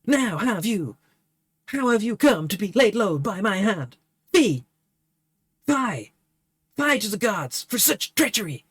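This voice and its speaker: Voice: mid-range voice, sing-song, slow pace, long pauses, fairly bright tone, slightly rough, dry recording, voice pushed up, exaggerated voice. A reader playing Burnett, a lovestruck swordsman in a stage tragedy, declaiming in bombastic, archaic speech. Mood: grieving. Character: grief-stricken lovestruck swordsman, theatrical.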